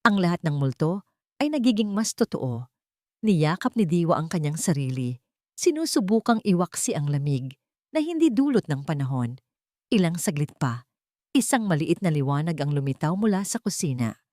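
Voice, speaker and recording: A woman's voice: low voice, sing-song, moderate pace, darkish tone, dry recording, clean studio sound. A woman with a Filipino accent narrating a dramatic scripted love story.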